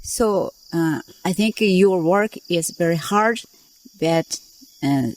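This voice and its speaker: Slow, hesitant female interviewer. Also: fairly low pitch, rough recording. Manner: hesitant, polite non-native English. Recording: faint insect noise outdoors.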